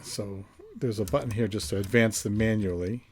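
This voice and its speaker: Man, slightly low voice, casual demonstration, thinking aloud, faint background noise. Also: fairly even intonation, moderate pace. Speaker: reseller reviewing a vintage find. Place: indoors, in a basement.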